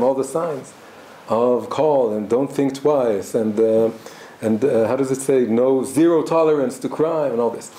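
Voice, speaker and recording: Dark-voiced man. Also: medium pitch. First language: Hebrew. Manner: halting, thoughtful, many restarts. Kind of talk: reflective spoken class. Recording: roomy echo, faint background noise.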